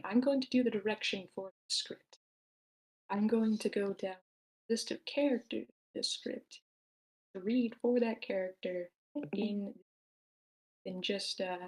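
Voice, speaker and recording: A woman's voice: mid-range voice, poor audio. A woman casually hosting an online table read, giving announcements in an informal, chatty way. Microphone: own microphone in an online voice meeting.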